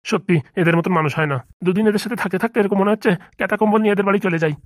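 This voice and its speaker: A man's voice: sits high, very fast, no echo, studio quality, lightly acted. A man voicing a friendly, slightly bossy male cartoon doctor who talks informally and familiarly, with a sentimental streak. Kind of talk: comedy cartoon dubbing in colloquial dialect.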